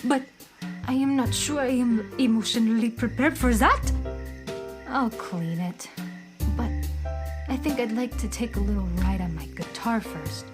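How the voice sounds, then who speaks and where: Lady doing a high kid cat girl, hip and dramatic, takes her time, radio play for children. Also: a little slow, over music, natural voice. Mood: reluctant. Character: cool young beatnik cat-girl, dramatic and playful.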